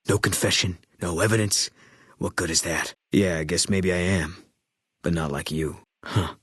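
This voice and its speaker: A middle-aged man playing a bitter, grieving ex-soldier sniper in a video game, speaking in terse, clipped, grim lines with a husky voice. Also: low-pitched, fairly bright tone, no echo, studio quality.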